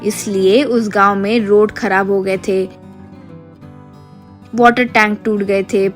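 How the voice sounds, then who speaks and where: A woman reading scripted narration for an animated moral story. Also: medium pitch. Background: light music.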